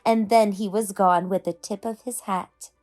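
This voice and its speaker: A woman reading a rhyming children's story aloud, with expressive, measured phrasing meant for young listeners. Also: medium pitch, soft background music. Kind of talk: storybook read-aloud narration in rhyme.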